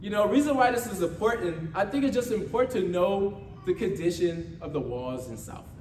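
High-voiced American preacher, man, echoey room. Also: lively intonation, a little fast, darkish tone. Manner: exhortative, conversational, repeats phrases and seeks agreement. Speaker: church ministry leader preaching a sermon. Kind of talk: informal motivational sermon with call-and-response. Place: church meeting room with responding congregation. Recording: echoey room from far off, faint noise.

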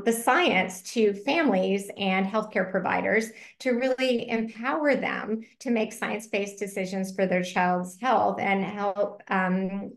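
A middle-aged woman pediatrician giving a webinar presentation over a video call, explaining things clearly and earnestly. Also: medium pitch. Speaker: pediatrician, medical advisor.

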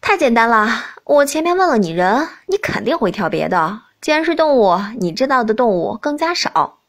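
A woman's voice: a little high, big pitch swings, normal speed. A woman voicing a smug, languid young prince in a princess's body for an audiobook, drawling and teasing. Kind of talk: audiobook character dialogue.

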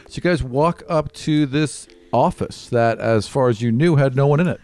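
A man's voice: medium pitch, normal speed, darkish tone. A man narrating a tabletop game as game master in a casual way, speaking into a podcast microphone. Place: small room around a table. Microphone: podcast microphone on table stand.

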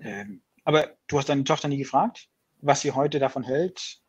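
Husky non-native man on a video call. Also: medium pitch, fairly even intonation, moderate pace. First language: not German. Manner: hesitant, restarts words, reflective. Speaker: guest. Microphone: video call webcam.

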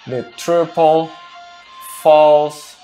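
A man in his thirties who is not a native English speaker, explaining football tactics unscripted at a whiteboard, slow and deliberate with many pauses. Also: on the high side, steady tone, dark tone, faint music behind. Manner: slow and deliberate, pausing often. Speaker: football analyst. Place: small room by a whiteboard.

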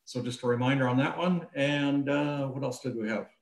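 A North American man in his sixties chats informatively with a medium-pitched voice. He is on a video call in a roomy space that echoes noticeably. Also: moderate pace. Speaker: cruising guide panelist. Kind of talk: casual informational chat.

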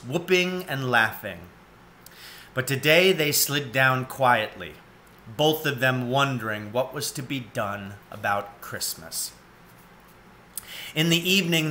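Slow, very bright man reading a story. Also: mid-range voice, a little hiss or hum. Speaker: storybook reader. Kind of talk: read-aloud children's story narration. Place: cluttered room at home, indoors.

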